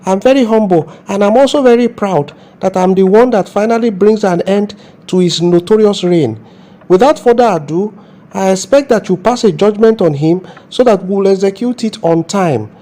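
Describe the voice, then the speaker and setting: A man's voice: sits high, moderate pace, dark tone, natural voice. A man acting as a zealous, self-important Nigerian police officer in a comedy skit, with a scolding manner and a Nigerian accent. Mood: proudly humble.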